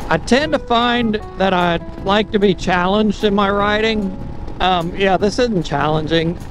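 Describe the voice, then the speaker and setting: A man with a fairly high voice talks loosely while he rides a motorcycle, thinking aloud and chuckling at his own jokes. Music plays clearly behind him. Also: unhurried, rough recording. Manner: rambling, self-amused, thinking aloud. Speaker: motorcycle vlogger. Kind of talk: casual ride commentary. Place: on a motorcycle on mountain roads.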